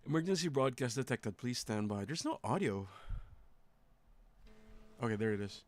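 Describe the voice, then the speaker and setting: A man's voice: mid-range voice, animated, moderate pace, a little hiss or hum. A man streaming in a casual, laid-back way, reading text aloud and muttering short reactions to it.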